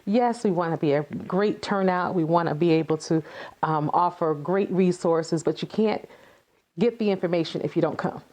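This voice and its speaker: A woman's voice: fairly low pitch, normal speed, darkish tone. A woman giving earnest, encouraging conversational answers as an interview guest, repeating phrases for emphasis. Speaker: playwright and activist guest.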